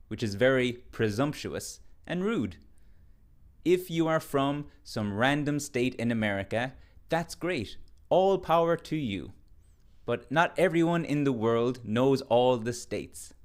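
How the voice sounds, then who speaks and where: A man's voice: mid-range voice, animated, a little slow. Emphatic man teaching. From Ireland. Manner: emphatic, lecturing, mock-indignant. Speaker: English teacher. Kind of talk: direct-to-camera short lesson.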